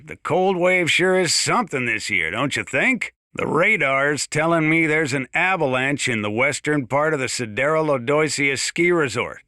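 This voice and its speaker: An actor playing a folksy, rambling old American small-town sheriff with dry humor, giving emergency radio announcements in a video game. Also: male voice, a little high, expressive, normal speed, few pauses, bright, forceful tone, no echo. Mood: wry, warning. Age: older.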